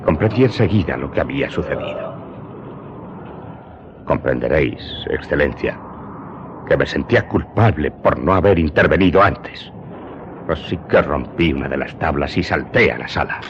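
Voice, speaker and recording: A man's voice: a bass voice, animated, noisy background. An actor playing a haunted, humble Corsican smuggler turned servant who confesses his story in a radio drama. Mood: grave, haunted. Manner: deferential, haunted, deliberate storytelling. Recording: muffled audio under prominent music.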